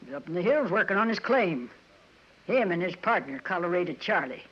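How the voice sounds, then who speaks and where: An actress playing a rough, hard-drinking frontier woman in a 1950s radio western, with a folksy, swaggering American Western drawl. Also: lowish voice, very animated, brisk. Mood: folksy warning. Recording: muffled 1950s radio broadcast recording.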